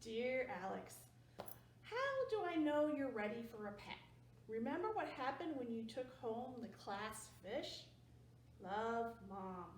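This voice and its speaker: A woman's voice: a little high, animated, a little slow, slight background noise. Woman reading as a dryly teasing mom. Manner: dry, teasing. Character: patient, dryly teasing mother. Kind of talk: picture book read-aloud. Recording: roomy sound from a distance.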